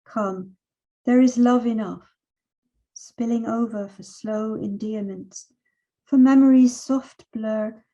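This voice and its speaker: Mellow-voiced woman poet in her sixties. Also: on the high side, lo-fi sound. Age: 60s. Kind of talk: poetry reading with spoken introductions.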